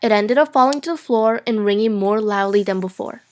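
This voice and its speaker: A woman's voice: mid-range voice, fairly quick, darkish tone. A young woman reading fan fiction narration aloud as an amateur, playful and prone to giggling.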